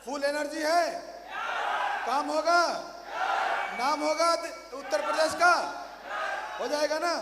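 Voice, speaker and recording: A hoarse man, a motivational speaker at a company training event, giving a rousing stage speech to a large audience and firing questions at the crowd, repeating them louder. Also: very high-pitched, steady tone, slow, long pauses, very noisy. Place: large hall. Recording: echoey hall, faint ambience.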